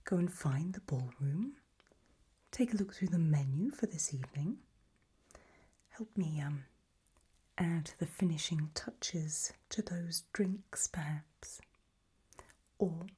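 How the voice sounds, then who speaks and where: A woman playing an elegant, macabre gothic hostess in a whispered ASMR roleplay, gracious and formal in manner, with a breathy voice. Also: low voice, dark tone, full character voice. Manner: languid, formal, genteel.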